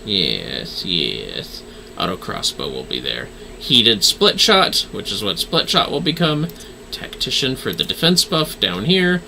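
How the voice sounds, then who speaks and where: A man's voice: fairly low pitch, sing-song, takes it slowly, creaky, pushed and bright, slight background noise. A man giving casual live gameplay commentary, talking to himself and swearing easily as he plays. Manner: easygoing swearing, talks to himself. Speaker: game streamer. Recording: music behind the voice.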